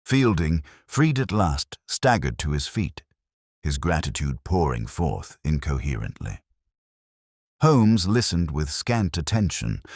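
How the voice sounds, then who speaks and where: A man's voice: very deep voice, no room sound, clean studio sound. A man narrating a classic detective story from a script in a dramatic, formal storytelling manner. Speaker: audiobook narrator, reading as a first-person storyteller.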